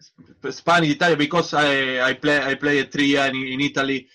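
A man, a football coach, talking in a casual interview in halting non-native English with many restarts. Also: medium pitch, steady tone, bright, forceful tone.

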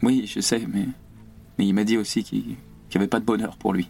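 An actor playing an earnest young idealist revolutionary, anguished and fervent, with halting, repeated words in a French radio play. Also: male voice, lowish voice, little pitch movement, vocal fry, darkish tone, husky, faint music behind. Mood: sorrowful, subdued. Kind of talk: French radio theatre acting.

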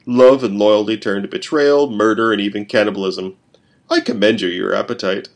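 A man voicing a sly, amused demonic stranger with a low, sonorous voice. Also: normal speed, natural voice.

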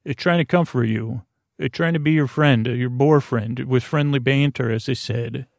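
A man with a creaky, gravelly voice hosting a bedtime podcast in a meandering, halting, digressive monologue. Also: medium pitch, no room sound, studio quality. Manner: meandering, digressive, halting, circling back on itself. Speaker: podcast host.

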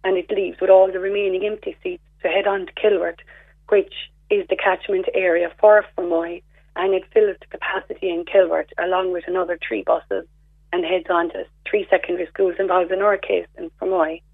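A hoarse woman calling in to a radio show, methodically explaining a problem on behalf of a group of parents. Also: fairly low pitch, fairly quick, dry recording. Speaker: caller, parents' representative. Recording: phone line.

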